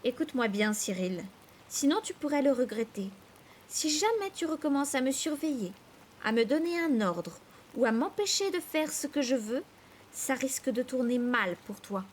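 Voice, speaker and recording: A woman voicing a spiteful young sister in a storybook, hoarse, sneering and threatening. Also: medium pitch, a little hiss or hum. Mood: cold menace. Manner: threatening, aggressive, slow emphatic menace.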